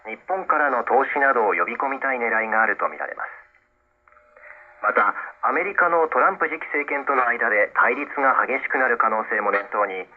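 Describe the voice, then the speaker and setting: A man reading scripted news as an announcer on shortwave radio. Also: medium pitch. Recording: dull shortwave radio sound.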